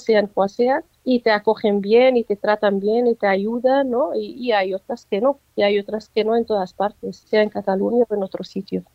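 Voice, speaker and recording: Woman author, slow thoughtful answers, dry slightly muffled sound. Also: mid-range voice, no room sound. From North Africa. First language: Amazigh. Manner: measured, often tags "¿no?". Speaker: author, interview guest. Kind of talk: reflective interview answers.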